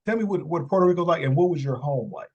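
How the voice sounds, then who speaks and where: A man's voice: on the high side, animated, racing, husky, dull audio. A man hosting an interview podcast, upbeat in a scripted intro, then asking chatty questions with repeated words. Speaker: podcast host.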